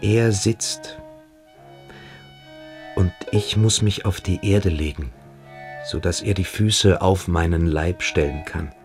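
An actor playing a submissive, evasive boarding-school pupil in a German radio play, hesitant and coquettish. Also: male voice, sits low, slow pace, pauses often, faint music behind. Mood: hesitant, confiding.

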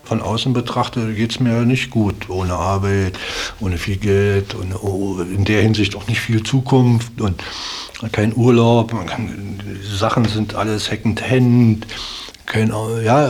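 A man's voice: lowish voice, a little mellow, husky. A man in his late forties reflecting casually in loose, colloquial speech, often adding little tag questions. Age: late 40s. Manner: colloquial, loose, seeks agreement with tags. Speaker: interviewee, working-class caretaker.

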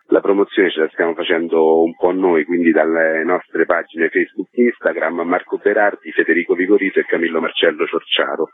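A man with a very low voice talks casually and bluntly in a radio interview. His voice is husky and comes over a narrow phone-like line with a roomy echo. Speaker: theatre playwright being interviewed. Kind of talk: informal radio interview talk.

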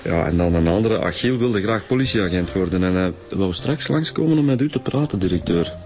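An actor with a Belgian Dutch accent playing an informal junior homicide detective in a radio drama, casual and sometimes halting. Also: male voice, deep voice, animated. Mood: amused.

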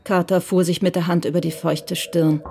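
A woman's voice: on the low side, little pitch movement, moderate pace, creaky, darkish tone, slight background noise. A woman reading scripted literary narration for a German radio crime drama.

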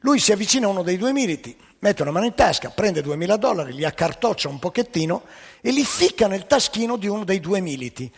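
A man telling a comic story on stage, animated and wry. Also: sits high, quick pace, breathy edge. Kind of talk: theatrical joke telling. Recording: live hall with long reverb.

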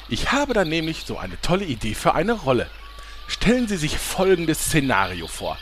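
A man's voice: mid-range voice, fairly bright tone. A talkative, boastful film promoter played by a man in a comic audio drama. His mid-range voice swoops as he wheedles and dramatizes, over background music. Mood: wheedling. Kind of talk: parody radio-play acting.

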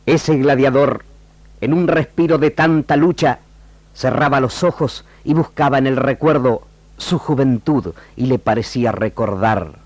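An actor with an Argentine accent voicing the vast pampa as a solemn, poetic epic storyteller in a radio drama. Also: male voice, mid-range voice, unhurried, no echo. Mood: reverent. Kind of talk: scripted radio drama narration.

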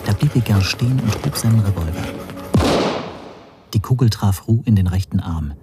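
An actor playing a weary, shaken man who narrates a German radio spy thriller in a measured, hushed, brooding manner. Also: on the low side, lively intonation, loud music behind the voice. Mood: tense, horrified. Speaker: first-person narrator and protagonist.

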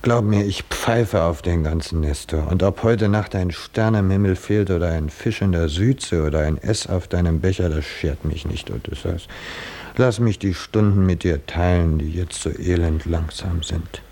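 An actor in a 1950s German radio drama playing a calm, consoling companion with a measured, solemn delivery. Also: male voice, sits low, a little hiss or hum. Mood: grave, consoling. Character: short-sighted male companion of Odysseus, calm and consoling.

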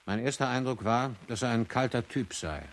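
Männliche Zeugenstimme in mittlerer Lage gespielt. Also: male voice, medium pitch, normal speed, natural voice. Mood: cool. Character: male witness coolly judging a suspect's character. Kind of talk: radio play testimony.

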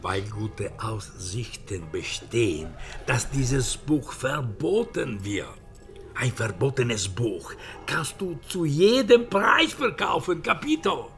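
A jovial Tuscan book dealer in a historical radio play. His pitch leaps about while his pace stays unhurried, and he haggles with sly, teasing theatricality over background music. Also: lively intonation. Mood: persuasive, sly. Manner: theatrical, teasing, peppering speech with Italian words. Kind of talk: radio drama acting.

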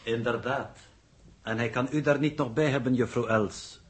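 A man acts a stiff, coolly correct official in a 1960s radio play. His medium-pitched voice is formal and pompous, with every phrase placed precisely. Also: fairly even intonation, moderate pace, a little hiss or hum, lightly acted. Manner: stilted, precise, formal diction. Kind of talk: 1960s Dutch radio drama acting.

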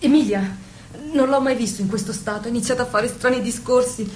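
A devoted young noblewoman performed by an actress in a theatrical radio drama. Her voice sits fairly high, and she speaks with trusting, earnest persistence. Some noise runs through the recording. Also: fairly quick, a little mellow, close to an everyday voice. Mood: uneasy, trusting.

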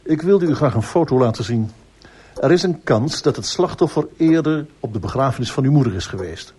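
A courteous male police inspector from a radio play. His mid-range voice is slightly husky. He questions people politely and persistently, in measured, formal phrases. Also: mid-range voice, lively intonation, darkish tone. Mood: formal, composed. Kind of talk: radio drama acting.

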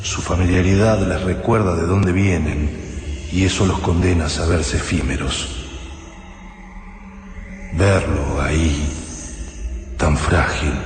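An actor playing a brooding, reflective fighter in a slow, solemn radio drama monologue. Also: male voice, low voice, soft background music. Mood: grave, pensive. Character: brooding, reflective male fighter standing over a captive enemy. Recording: long reverb, clear background noise.

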